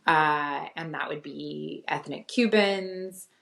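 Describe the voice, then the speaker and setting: Middle-aged woman, low voice w/ some gravel, lots of ums and restarts as she thinks out loud. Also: slow. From Costa Rica, raised in the US. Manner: thoughtful, many fillers and restarts. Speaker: guest, theology professor. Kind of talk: conversational interview.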